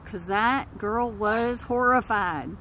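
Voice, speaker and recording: A woman's voice: on the high side, slow pace, fairly bright tone. Woman as shaken middle-aged Texan. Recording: street ambience with vehicle noise.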